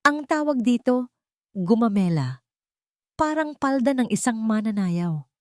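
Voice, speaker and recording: A woman voicing a humble working single dad who speaks softly, patiently and respectfully in a storybook narration. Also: a little high, very animated, measured pace, no echo, studio quality, pitched above the performer's own voice. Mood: gentle.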